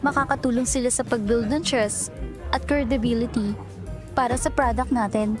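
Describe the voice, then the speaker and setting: A high-pitched young Filipino office worker played by a woman over clear background music. She is eager and deferential, with a flustered, girlish streak of secret affection, in the manner of a romantic audio drama. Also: fairly even intonation, normal speed, natural voice. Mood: earnest, professional. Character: eager young female marketing employee, secretly smitten with her boss. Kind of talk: romantic audio drama acting, Tagalog-English mix.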